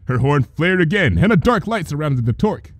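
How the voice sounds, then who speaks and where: Man narrating dramatically, very animated pitch. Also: fairly quick, no echo. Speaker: fan fiction reader. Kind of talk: dramatic scripted story narration.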